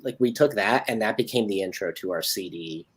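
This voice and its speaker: A woman's voice: very deep voice, a little fast, a little hiss or hum, poor audio. A woman co-host on a video call telling an anecdote casually, with an informal, salty storytelling manner. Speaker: guest co-host on a live show.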